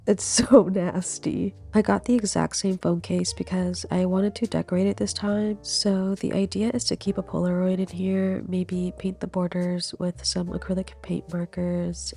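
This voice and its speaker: A woman's voice: lowish voice, gravelly, warm tone. A young woman with a cold, sounding stuffy and nasal, chatting easily through a vlog voiceover. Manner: chatty, easygoing, laughs while talking. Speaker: vlogger. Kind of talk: casual voiceover narration. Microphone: studio voiceover mic.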